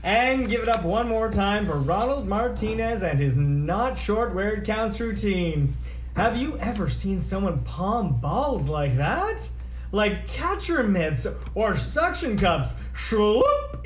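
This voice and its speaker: Man acting high-voiced folksy radio emcee. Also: big pitch swings, slow, heavily acted. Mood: gleeful. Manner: showy, hammy announcer patter. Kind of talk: announcing at a comedic script table read. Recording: roomy echo, noticeable background noise.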